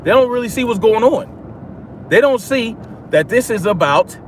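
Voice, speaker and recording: A man's voice: very high-pitched, lively intonation, a little slow, slight background noise. An American man giving casual political commentary as a livestream host, emphatic and repeating phrases for stress.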